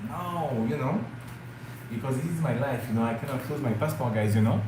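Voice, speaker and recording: A man's voice: medium pitch, brisk. French-accented man chatting in an echoey room. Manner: hesitant, many fillers, addresses viewers as guys. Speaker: travel vlogger. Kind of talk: casual livestream chat with viewers. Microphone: phone or camera mic, live stream. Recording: very echoey room with clear background noise.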